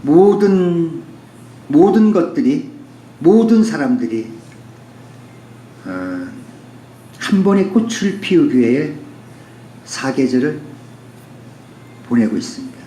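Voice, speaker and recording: A man's voice: on the high side, very animated, takes it slowly, long pauses, dark tone. A man speaking as a poet, musing philosophically in an unscripted, poetic way with repeated phrasing. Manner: philosophical, poetic, with rhetorical repetition. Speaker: poet and author giving a talk. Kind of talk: reflective spoken essay, unscripted. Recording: roomy echo with faint background noise.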